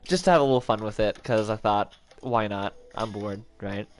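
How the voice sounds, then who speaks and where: A man's voice: fairly low pitch, normal speed. Young man rambling with a head cold. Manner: rambling, self-interrupting, joking. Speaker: streamer. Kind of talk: casual livestream chat.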